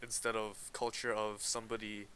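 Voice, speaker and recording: Bright, crisp-voiced teenage boy chatting. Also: lowish voice, little pitch movement, normal speed. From Canada. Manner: hesitant, restarts often, laughs while explaining. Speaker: podcast co-host. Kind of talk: casual chat.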